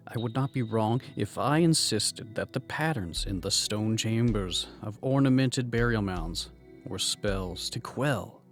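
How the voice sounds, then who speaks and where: A man's voice: lowish voice, creaky. A man voicing an obsessive former archaeology professor in a fan manga dub, earnest and musing. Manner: earnest, musing inner monologue. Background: music.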